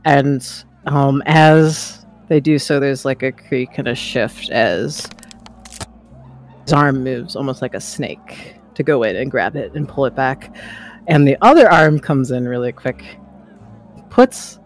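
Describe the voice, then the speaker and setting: A man playing a tabletop roleplaying game, improvising third-person storytelling in halting, deliberate phrases. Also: mid-range voice, a little hiss or hum.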